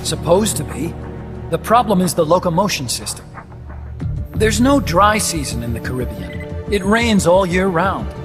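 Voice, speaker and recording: An actor playing an eager, talkative weapons scientist in a game cutscene, explaining things in an emphatic, lecturing way. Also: male voice, sits high, big pitch swings, moderate pace. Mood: animated explaining. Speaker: weapons engineer character. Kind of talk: dramatic game cutscene dialogue. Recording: long reverberant hall, music underneath. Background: prominent background music.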